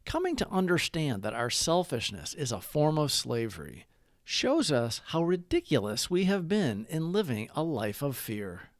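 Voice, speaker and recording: A man's voice: a little high, wildly swooping pitch, moderate pace, no room sound, studio quality. A man hosting a recovery channel, reading a scripted daily reflection and prayer.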